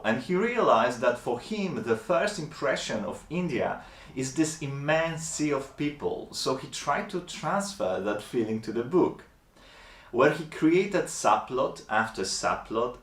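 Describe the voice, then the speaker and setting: Slow, thoughtful man. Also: mid-range voice, sing-song, a bit bright. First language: Polish. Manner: measured, thoughtful, slightly formal. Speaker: book reviewer. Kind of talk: reflective book review monologue. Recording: roomy echo.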